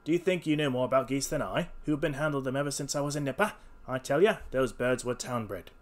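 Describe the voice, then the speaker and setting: A man's voice: mid-range voice, fast talker, voice pushed up, natural voice. A man voicing a stubborn, irritable market goose salesman in a storybook reading, snapping and combative in his manner. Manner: gruff-worded, snapping, combative.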